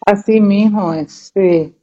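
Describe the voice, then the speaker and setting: A woman with a Paraguayan Spanish accent, answering deliberately in a conversational interview, often repeating phrases as she builds her point. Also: mid-range voice, mellow. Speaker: interview guest, educator. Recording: dull lo-fi muffled sound.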